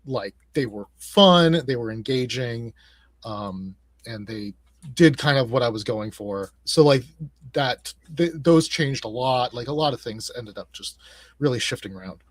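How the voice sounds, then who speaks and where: A man speaking as an interview guest, casually explaining his work and thinking aloud in halting phrases. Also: mid-range voice, animated, dry recording. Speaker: game designer, interview guest.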